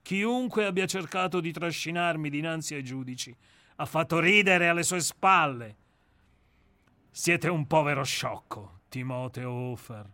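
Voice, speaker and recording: A man's voice: a little high, measured pace, bright, forceful tone, no room sound. A man reading a radio play aloud, voicing a cynical, menacing novelist with a grandiloquent, mocking manner. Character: cynical, menacing male novelist, coldly theatrical. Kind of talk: dramatic reading.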